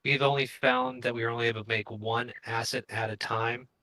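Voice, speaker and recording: A man's voice: medium pitch, fairly even intonation, a little fast, pushed and bright, poor audio. A man co-hosting a livestream, explaining technical points in casual, conversational talk. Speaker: co-host of a crypto show.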